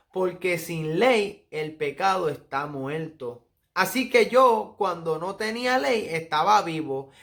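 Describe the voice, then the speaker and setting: Slow-speaking Puerto Rican male preacher. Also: high voice, fairly bright tone. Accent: Puerto Rican Spanish. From Puerto Rico. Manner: earnest, teaching, reads verses aloud slowly. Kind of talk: Bible study sermon.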